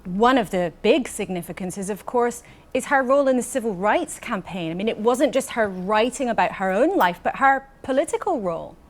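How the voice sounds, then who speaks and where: A woman's voice: fairly high pitch, lively intonation, normal speed, hardly any pauses. A woman interviewing guests as a news programme presenter.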